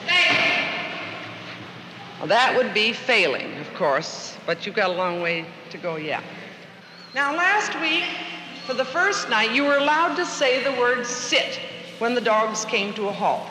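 An American woman briskly instructing a class and calling out commands in a large hall. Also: on the high side, sing-song, bright, forceful tone, slight background noise. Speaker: dog obedience instructor. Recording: echoey hall, old radio field recording.

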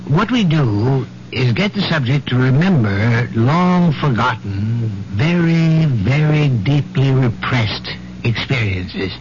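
An actor playing a measured, clinical hypnotherapist in a 1970s radio drama, speaking formally and deliberately as he explains. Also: medium pitch, animated, a little hiss or hum. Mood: clinical, assured. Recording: dull, humming old radio recording.

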